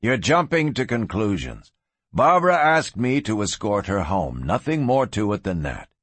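Man playing a cool, husky Western gunslinger. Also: lowish voice, dry recording, heavily acted. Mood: cool warning. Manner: flat, measured, soft-spoken warnings. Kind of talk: dramatised audiobook reading.

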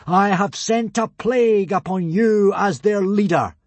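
Wrathful young thunder god for children's audiobook: raised pitch, booming, solemn, stately proclamations. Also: male voice, unhurried, darkish tone, no echo. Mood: solemn, threatening.

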